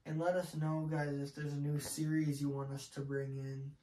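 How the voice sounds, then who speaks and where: Casual review co-host, mid-range mellow voice, chatty and unscripted, light background noise. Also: medium pitch, flat delivery, normal speed, poor audio. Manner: chatty, addresses viewers and co-host directly. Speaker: co-host of a product review channel.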